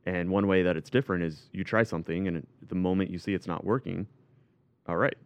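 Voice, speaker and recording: A man's voice: sits low, steady tone, quick pace, smooth, dry recording. A man chatting casually as a panelist in a sports roundtable, on a slightly dull recording.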